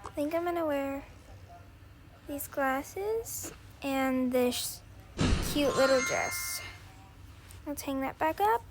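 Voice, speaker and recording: A woman's voice: high-pitched, expressive, takes it slowly, long pauses. A woman improvising a pretend-play story, talking through each small action, with faint noise and clicks behind. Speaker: creator voicing all characters of a toy-app role-play story.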